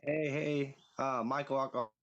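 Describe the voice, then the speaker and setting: A man's voice: medium pitch, fairly even intonation, normal speed, a bit bright, muffled recording. A man on a video call sharing at a recovery meeting, hesitant and full of fillers.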